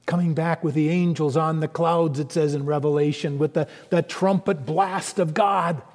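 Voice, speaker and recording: Male pastor, high voice, animated sermon delivery, echoing church acoustics. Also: moderate pace. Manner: animated preaching with conversational asides.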